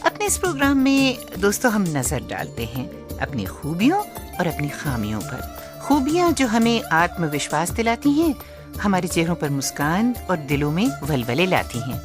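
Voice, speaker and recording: Expressive woman radio co-host, lively intonation. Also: fairly low pitch, normal speed, music in the background. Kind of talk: scripted radio presenting with co-host banter.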